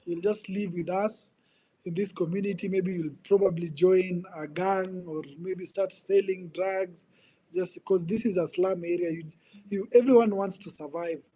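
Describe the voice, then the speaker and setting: A man in his twenties with a Kenyan accent, telling his life story as a podcast guest in an earnest, halting way, often repeating words. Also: sits high, steady tone, mellow. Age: 20s. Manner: earnest, repeats words while searching for them. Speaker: guest, ministry coach. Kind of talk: personal testimony in conversation.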